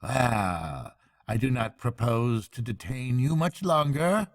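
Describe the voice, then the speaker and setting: A man voicing a smug weasel giving a mock-pompous speech in a squeaky voice for a storybook. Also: expressive, slow pace. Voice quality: high, squeaky.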